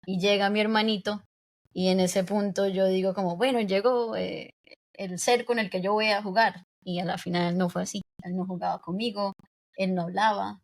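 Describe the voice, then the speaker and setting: A woman's voice: medium pitch, steady tone, moderate pace. Reflective young woman, hesitant speech. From United States. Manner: reflective, hesitant with many fillers. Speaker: podcast guest. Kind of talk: casual interview talk.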